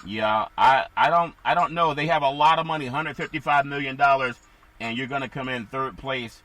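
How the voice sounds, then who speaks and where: Slightly raspy-voiced man talking football. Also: medium pitch, moderate pace, sharp tone. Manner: rambling, asks co-host for thoughts. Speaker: sports talk host. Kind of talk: casual sports talk.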